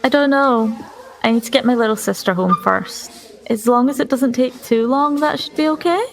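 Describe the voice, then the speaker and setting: A woman's voice: fairly high pitch, normal speed, gravelly. A woman playing a tabletop roleplaying game, speaking casually as her character. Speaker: player.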